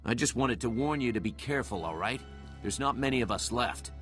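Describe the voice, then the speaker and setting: An actor playing a wary, suspicious army sergeant in a video game, with a blunt, familiar manner. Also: male voice, medium pitch, lively intonation, fairly quick, a bit bright, faint music behind, close to an everyday voice. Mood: suspicious, grudging.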